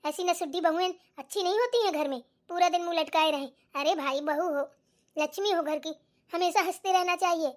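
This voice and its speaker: A woman voicing a bossy, scolding older mother-in-law in a family cartoon, sharp-tongued and demanding, with a childlike voice. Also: extremely high pitch, a little fast. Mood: grumbling, annoyed. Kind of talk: Hindi cartoon voice acting.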